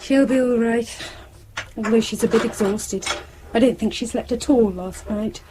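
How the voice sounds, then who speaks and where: A woman's voice: on the high side, moderate pace, noisy background, natural voice. An actress playing a protective adult British daughter, resentful of her father, in a naturalistic radio drama. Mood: concerned.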